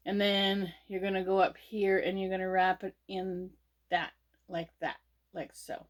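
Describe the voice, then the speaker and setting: A woman hosting a casual crochet tutorial. She sounds sniffly from a cold and chats slowly, with lots of "um" and "uh" between steps. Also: medium pitch. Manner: slow, chatty, with many fillers and pauses. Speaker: crochet channel host.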